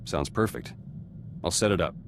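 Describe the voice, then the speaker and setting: A man's voice: low voice, expressive, normal speed, heavy background noise. A man playing a blunt resistance fighter turned reluctant politician in a video game, talking in clipped, dry phrases. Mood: businesslike, determined. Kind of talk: cinematic video game voice acting.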